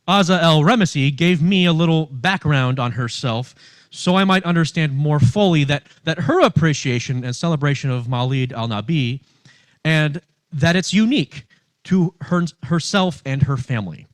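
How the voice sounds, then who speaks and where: A man speaks as a minister delivering a prepared sermon. His voice sits a little high for a male speaker, and the sound is dry, with very little room. He moves phrase by phrase, leaning on important words. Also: normal speed, no echo. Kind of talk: sermon read from notes, with long quotations.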